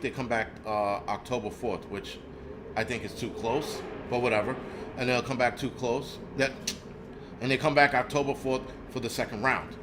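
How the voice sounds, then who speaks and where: A man's voice: mid-range voice, noisy background. A man, hosting a casual live stream in a blunt, profane, rambling rant. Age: 40s. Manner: rambling with frequent restarts. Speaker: host.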